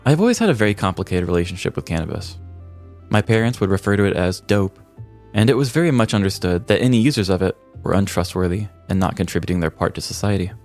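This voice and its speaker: A man's voice: low voice, expressive, fast talker, darkish tone, soft background music. An American man co-hosting a comedy podcast, telling stories with wry, dry humor. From American Midwest. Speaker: podcast co-host. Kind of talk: casual comic podcast talk and scripted storytelling.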